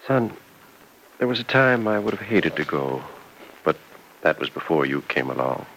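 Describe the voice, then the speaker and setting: A man's voice: deep voice, big pitch swings, moderate pace, vocal fry, a bit bright, exaggerated voice. An actor playing a dying old farmer, a tender and resigned father, in an earnest old-time radio soap opera. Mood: tender, proud. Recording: noisy vintage radio drama recording.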